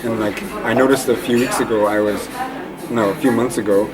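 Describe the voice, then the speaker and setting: A young man from Eastern Canada chatting casually in an interview, relaxed and rambling with lots of fillers. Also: lowish voice, fairly quick, poor audio. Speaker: interview guest. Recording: loud crowd noise behind.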